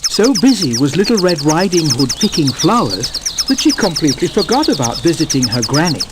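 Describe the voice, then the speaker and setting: A man narrating a children's fairy tale from a script as an expressive, wry storyteller. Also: fairly high pitch, fairly quick, very noisy.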